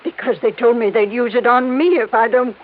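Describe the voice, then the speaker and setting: A woman's voice: on the high side, steady tone, fairly quick, close to an everyday voice. An actress playing an anxious, earnest older woman held captive in an old-time radio crime drama, pleading in manner. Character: frightened, earnest older female captive, kind-hearted. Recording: tinny vintage radio recording.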